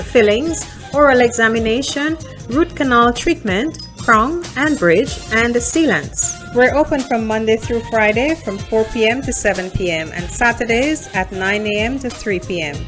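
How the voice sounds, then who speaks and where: A woman doing a scripted commercial voice-over. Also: on the high side. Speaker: advertisement voice-over. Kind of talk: scripted radio-style commercial read. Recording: music bed under voice.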